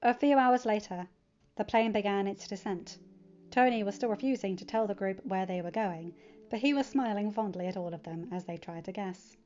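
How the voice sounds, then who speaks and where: A woman's voice: mid-range voice, moderate pace, a little mellow, muffled recording, faint music behind. A woman reading scripted story narration as the narrator of a fan fiction audiobook.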